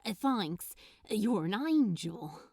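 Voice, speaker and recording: A woman voicing a tired, hesitant, dryly grumpy but soft-hearted man in a storybook reading. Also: medium pitch, sing-song, extremely slow, breathy edge, dry recording, full character voice. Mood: wry. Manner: hesitant, mumbling, dry.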